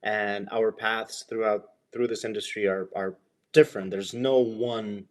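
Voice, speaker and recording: Casting: podcast host, man, lowish voice, musing and meandering. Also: fairly even intonation, measured pace. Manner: rambling, thoughtful, many fillers. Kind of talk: casual interview intro.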